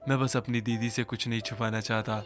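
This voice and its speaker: A man's voice: mid-range voice, little pitch movement, fairly quick, a bit bright, faint music behind, natural voice. A man voicing a modest, honourable young uncle in a cartoon story, earnest and level. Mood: earnest, regretful. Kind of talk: Hindi cartoon story voice acting.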